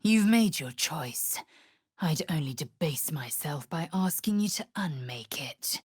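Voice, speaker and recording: A woman's voice: deep voice, expressive, unhurried, slightly rough, no room sound, clean studio sound, exaggerated voice. An actress playing a fierce, proud alien warrior woman in a video game, speaking in a clipped, imperious, scornful way. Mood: scornful, commanding. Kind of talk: video game character voice lines.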